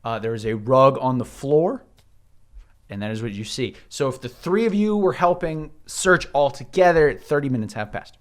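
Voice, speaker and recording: A man's voice: medium pitch, lively intonation, moderate pace. A man working as game master, narrating a tabletop game casually and bantering playfully with his players.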